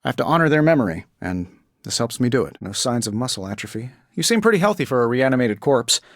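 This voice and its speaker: An actor playing a cocky, wisecracking billionaire inventor superhero in a video game, with a glib, sarcastic and self-assured delivery. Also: male voice, mid-range voice, sing-song, fast talker, dry recording, studio quality. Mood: glib, upbeat.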